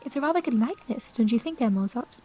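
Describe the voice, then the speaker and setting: A woman's voice: a little high, animated, fast, mellow, faint music behind, close to an everyday voice. A woman playing a curious, genteel young lady narrating a period audio drama in a formal, thoughtful storytelling manner. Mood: curious, reflective.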